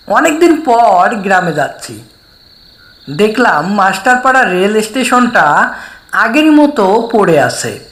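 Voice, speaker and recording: Slow, echoey woman narrating horror stories. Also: mid-range voice. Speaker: story narrator. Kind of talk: scripted first-person horror story narration. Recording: echoey voice with faint background noise.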